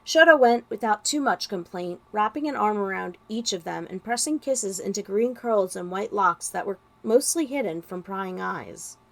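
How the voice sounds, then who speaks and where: A woman reading a story as narrator, with expressive, dramatic delivery. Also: medium pitch, moderate pace, vocal fry, hardly any pauses. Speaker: podfic narrator.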